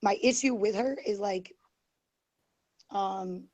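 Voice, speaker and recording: Dark-voiced woman losing her voice. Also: medium pitch, slow pace, no room sound. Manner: rambling, defensive, many fillers and restarts. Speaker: guest. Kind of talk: heated online group call.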